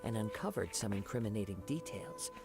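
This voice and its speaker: An actor playing a calm, thoughtful young wandering samurai in a video game, speaking formally and with measured care. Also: male voice, lowish voice. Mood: pondering. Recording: game music behind.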